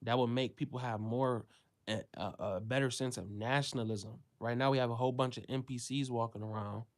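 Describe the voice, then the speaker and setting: A man from the United States speaking in an unscripted commentary monologue. He rants with plenty of profanity, sinking into low, quiet stretches and then leaping up into loud, high-pitched bursts when he mocks others. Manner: ranting, profane, swings from low mutter to loud exclaiming.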